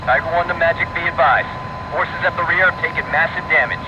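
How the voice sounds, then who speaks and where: Fast, decisive squadron leader played by a man. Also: fairly high pitch, highs cut off, close to an everyday voice. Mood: urgent, firm. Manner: firm, urgent directives. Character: male allied squadron leader, decisive, military. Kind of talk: radio dialogue in a flight combat game. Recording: military radio, very loud aircraft noise.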